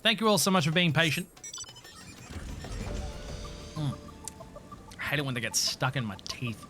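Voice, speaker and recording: A man streams a video game in a high, very lively voice. He chats casually and expressively, with cheeky swearing. Soft music plays behind his bright delivery. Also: moderate pace. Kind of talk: casual gameplay stream chat with playful swearing.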